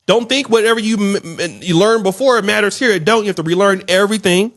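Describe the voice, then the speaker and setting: A man's voice: high-pitched, fast, a bit bright, dry recording, clean studio sound. A man hosting a video channel, speaking directly to viewers in a blunt, emphatic commentary. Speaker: YouTube channel host.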